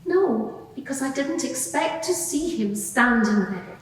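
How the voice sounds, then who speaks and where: A woman plays a devoted, earnest witness testifying in a courtroom drama. Her fairly high voice gives candid, hesitant answers. It is picked up from far off in a hall with long, heavy reverb. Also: animated, unhurried, a little hiss or hum. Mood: conceding, steadfast. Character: earnest female witness, devoted follower of Jesus. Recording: echoey hall, distant microphone.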